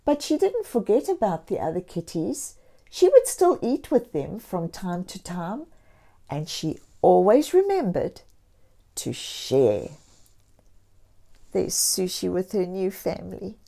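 A woman storyteller reading a picture book aloud to young children, expressively and deliberately, with encouraging asides. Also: lowish voice, wildly swooping pitch, creaky, warm tone. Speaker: children's storyteller and channel host.

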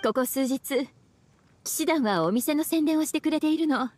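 Sultry witch librarian voiced by a woman, languid big-sister teasing, fairly high voice. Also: moderate pace, a little mellow, dry recording, close to an everyday voice. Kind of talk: Japanese game dub.

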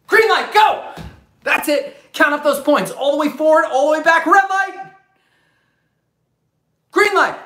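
A man in his thirties coaching a live online gym class for kids from his living room, calling out energetic commands and countdowns. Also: very high voice. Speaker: fitness coach. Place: bright living room, indoors. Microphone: camera across the room. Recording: echoey room.

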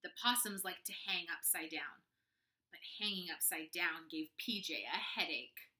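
A young woman reads a picture book aloud as a teacher would. Her voice is bright and forceful, with big pitch swings. She takes her time and leans on words for dramatic effect. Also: lively intonation. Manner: deliberate, dramatic emphasis. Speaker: kindergarten teacher. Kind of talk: expressive children's storybook read-aloud.

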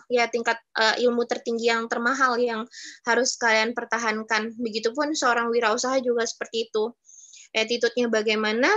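A woman's voice: on the high side, a bit bright, highs cut off. A woman in her twenties teaching students in a casual, chatty way over a video call. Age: 20s. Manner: chatty, addresses students as younger siblings. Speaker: webinar speaker.

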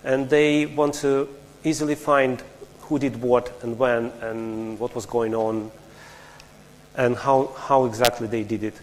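A man's voice: mid-range voice, fairly even intonation, unhurried. A man gives a technical talk in a large lecture hall, his voice carrying a long reverb tail. He explains things step by step, often restarting phrases, and turns to the audience with questions. A faint hum sits beneath the room sound. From Russia. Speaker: conference speaker.

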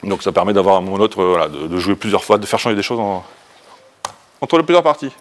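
A man's voice: low voice, quick pace, slight background noise. A man in his thirties with a French accent presents in a lecture room, informally and with self-deprecating jokes. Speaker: conference speaker. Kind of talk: informal tech presentation with live demo.

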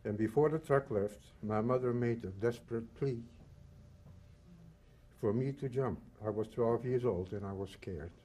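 A man in his eighties from the Netherlands and Belgium, reading a prepared personal testimony in measured, solemn short phrases. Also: mid-range voice, warm tone, a little hiss or hum. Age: 80s. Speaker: Holocaust survivor giving testimony.

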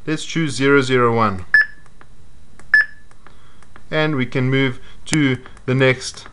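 A man's voice: mid-range voice, slow pace, heavy background noise. Methodical man explaining device setup. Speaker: product demonstrator. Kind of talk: step-by-step instructional voice-over. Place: indoors at a table.

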